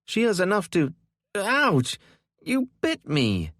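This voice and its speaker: A man's voice: sits high, wildly swooping pitch, slow pace, no room sound, clean studio sound, voice pushed up, full character voice. A man doing a furious bossy big sister. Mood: scolding. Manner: yelling, scolding. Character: furious bossy older sister, young girl.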